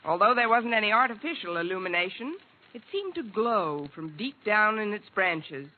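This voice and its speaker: A woman's voice: medium pitch, big pitch swings, normal speed, phone-quality sound. An actress playing a wry, wisecracking single woman in a mid-century radio sitcom, on an old recording. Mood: musing narration. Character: wry single woman alone with her cat. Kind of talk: 1940s-50s radio sitcom acting and narration. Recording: noisy, band-limited old radio recording.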